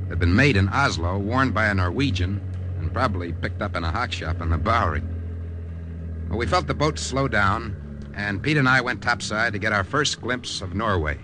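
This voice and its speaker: An actor playing a steady, matter-of-fact American secret agent who also narrates, in 1950s radio drama style. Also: male voice, on the low side, close to an everyday voice.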